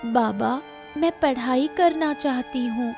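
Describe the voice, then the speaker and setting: A woman's voice: high-pitched, slow, darkish tone, faint music behind. A woman voicing a stubborn village schoolgirl in a cartoon story, pleading plaintively. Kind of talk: Hindi cartoon story voice acting.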